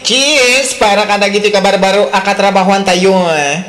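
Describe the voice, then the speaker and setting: A woman's voice: mid-range voice, normal speed, over music, close to an everyday voice. A woman with an Ilocano accent acting in a radio drama, playing a friendly, welcoming coworker. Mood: cheerful.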